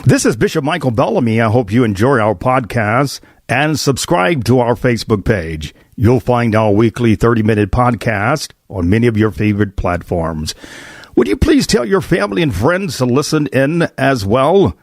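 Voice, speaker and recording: A man, a bishop hosting a podcast roundtable, asking questions in deliberate, formal phrasing. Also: medium pitch, expressive, dry recording, clean studio sound. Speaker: podcast host. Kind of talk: roundtable interview.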